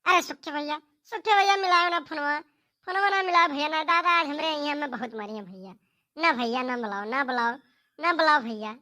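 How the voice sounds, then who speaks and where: A woman's voice: high-pitched, moderate pace, bright, forceful tone. A woman voicing a hapless young farm son in a comic cartoon, whining and pleading repeatedly with an Awadhi accent. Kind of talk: amateur comic cartoon dialogue.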